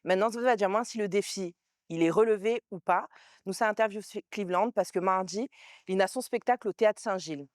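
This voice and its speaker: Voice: mid-range voice, fairly quick, no room sound. Interviewer, dry sound. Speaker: interviewer and show host. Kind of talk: casual interview.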